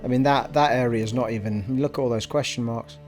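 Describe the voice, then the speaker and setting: A middle-aged man from Scotland with a generic English accent gives chatty, self-deprecating live commentary as he plays a game at home. His voice sits at an ordinary middle pitch, with faint music underneath. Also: steady tone, quick pace, a little mellow. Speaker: game streamer. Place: home streaming room.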